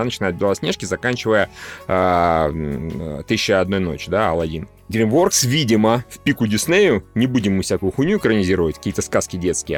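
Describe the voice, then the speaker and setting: A man with a fairly low voice talks casually about films as a podcast co-host. He is informal and drops in profanity. Music plays underneath his voice. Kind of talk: casual film discussion. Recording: music bed behind the voice.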